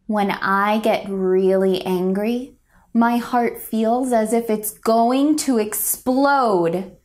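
A young woman reads a children's storybook aloud at a very slow, deliberate pace. Her voice sits a little high, and she delivers the lines gently and expressively, as for small listeners. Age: young adult. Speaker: picture book reader. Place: indoors, a home room.

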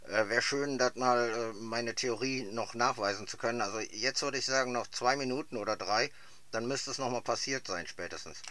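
A man's voice: medium pitch, moderate pace, bright, forceful tone. A man chatting casually as a radio amateur over a radio link. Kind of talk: casual two-way radio chat.

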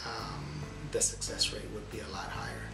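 Male principal, thirties, fairly low voice, interview testimonial over music. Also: level tone, normal speed, gravelly. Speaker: elementary school principal. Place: small office, indoors. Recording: echoey office, music behind.

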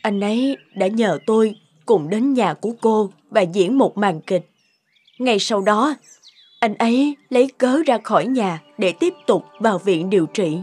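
A woman playing an earnest, sympathetic doctor in a cartoon drama, speaking in a measured, composed way. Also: mid-range voice, expressive, darkish tone, slightly rough, faint music behind. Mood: gentle, solemn.

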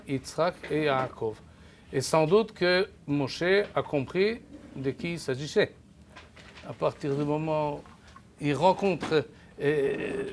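An older man teaching a Bible class in a lecture room with no microphone, speaking haltingly with many restarts and raising his voice to carry. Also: on the high side, a bit bright, a little hiss or hum. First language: French. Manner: halting, many restarts and repeated words, raises his voice to be heard. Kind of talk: lecture to a live audience. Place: lecture room with projector screen. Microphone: no microphone, distant camera.

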